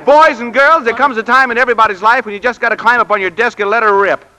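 An actor playing an eager, earnest young office clerk in a 1940s radio drama. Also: male voice, extremely high pitch, quick pace, a crowd in the background, poor audio. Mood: elated. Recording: vintage 1940s radio broadcast.

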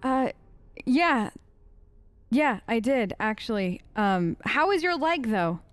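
A woman hosting a casual role-play game video, expressive and chatty as she talks to the characters. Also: a little high, slow, no room sound. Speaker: gaming video host. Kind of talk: in-character playthrough commentary, casual.

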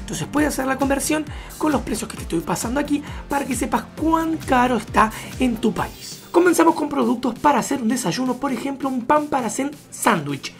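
A woman narrating a travel vlog voice-over, briskly listing supermarket prices one after another. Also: high-pitched, animated, creaky. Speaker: travel vlogger. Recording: voice-over with music underneath.

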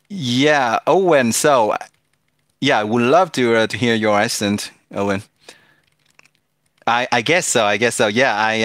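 A man's voice: mid-range voice, a bit bright, no echo, studio quality. A man from France with a French accent, chatting casually with viewers while streaming a mobile game, hesitating often with fillers and restarts.

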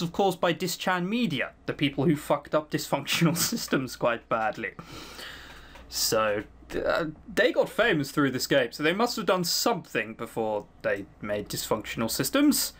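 Animated, sardonic man doing game commentary. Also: fairly high pitch. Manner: sardonic, sweary, dryly critical asides. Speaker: video game commentator reading a visual novel aloud. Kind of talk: let's-play commentary mixed with reading aloud.

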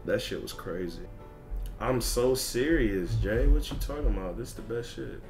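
A man's voice: mid-range voice, animated, moderate pace, darkish tone. A man chatting casually on a livestream as a video game streamer, ranting in profane, repetitive slang. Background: music.

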